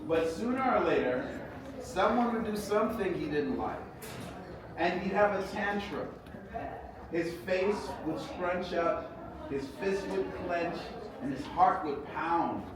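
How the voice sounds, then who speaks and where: A man reading a children's story aloud in a measured, expressive storytelling manner. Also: high voice, crowd noise. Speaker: author reading own book aloud. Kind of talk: children's storybook reading with sung hymn passages. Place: echoey indoor event space. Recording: echoey room, distant mic, clear background noise.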